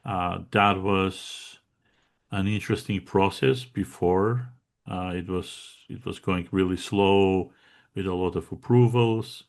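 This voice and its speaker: A man's voice: deep voice, fairly even intonation, takes it slowly. A man from Bulgaria with a Bulgarian accent, a guest chatting casually in an interview, with lots of fillers and restarts. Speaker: guest contributor.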